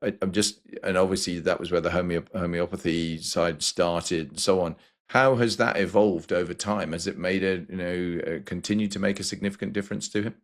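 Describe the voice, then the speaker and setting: Deep-voiced encouraging male host. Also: fairly even intonation, fairly quick. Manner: encouraging, affirming interviewer. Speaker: podcast host. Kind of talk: interview conversation.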